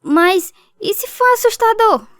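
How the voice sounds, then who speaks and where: Scared sweet little brazilian girl, high pitched, hesitant, radio play acting. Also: expressive, unhurried, fairly bright tone, dry recording, clean studio sound, full character voice. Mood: uneasy, hesitant. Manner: hesitant, fearful. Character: anxious, tender young girl, about ten to thirteen.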